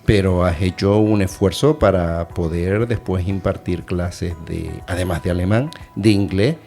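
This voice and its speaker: A man's voice: sits low, unhurried, a little mellow, soft background music. A middle-aged man hosting an educational radio chat, talking in a hesitant, thoughtful way with many fillers. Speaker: radio host and adult education teacher.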